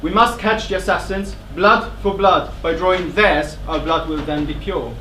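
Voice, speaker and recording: A man acting a grim, fervent courtier in a stage tragedy, declaiming vividly with deliberate dramatic pauses. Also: high-pitched, steady tone, sharp tone. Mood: grim, urgent. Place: theatre stage. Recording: distant, echoey theatre stage, background noise.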